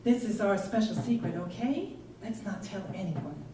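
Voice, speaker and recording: A gentle, secretive seamstress voiced by a woman storyteller coaxes a child in soft, deliberate tones. It is a live story reading, heard from a distance over loud music. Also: mid-range voice, expressive, echoey room, lightly acted. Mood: hushed, secretive.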